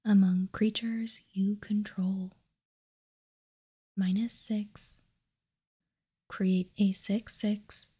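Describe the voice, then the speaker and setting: A woman hosting an ASMR card showcase in a breathy, unvoiced whisper, hushed and deliberate, close to the microphone. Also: mid-range voice. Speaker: host.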